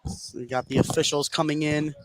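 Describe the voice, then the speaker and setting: A man's voice: a little high, level tone, brisk, a bit bright, rough recording. A man calling a basketball game live as a play-by-play radio commentator. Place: indoor school gym. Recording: crowd noise behind the voice. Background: gym crowd.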